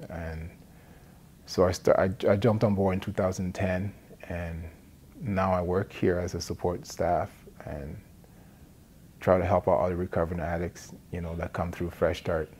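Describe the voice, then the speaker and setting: A man from Liberia speaks. He shares a personal testimony as a recovery support worker, plainly and with halting phrasing. Faint noise sits behind his words. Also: deep voice, flat delivery, mellow. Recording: noticeable background noise.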